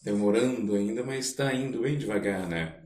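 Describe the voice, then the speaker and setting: Brazilian male podcast host, lowish echoey voice. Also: moderate pace, a little mellow. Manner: agreeable, short supportive interjections, tag questions. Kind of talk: casual conversational interview. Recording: echoey room.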